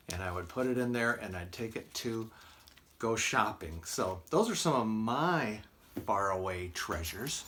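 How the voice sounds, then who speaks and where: A man with an American accent reading aloud at a children's storytime, expressive and deliberate, with casual asides in simple words. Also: mid-range voice, a little hiss or hum. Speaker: library storytime reader.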